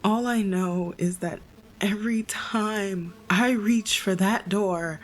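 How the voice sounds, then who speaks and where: An actress playing a bookish, guilt-ridden young woman in an audio drama, halting and hushed as she confesses her doubts. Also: medium pitch, slight background noise, natural voice. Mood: haunted, resolute. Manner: halting, reflective, self-doubting.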